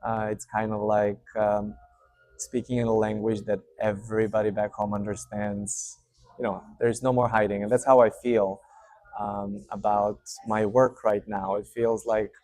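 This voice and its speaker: A man's voice: fairly low pitch, flat delivery, a little slow, no echo. Serbian-accented man, hesitant, very dry sound. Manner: warm, hesitant, many fillers. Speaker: channel host. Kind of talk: casual unscripted update to camera. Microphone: speaking to camera.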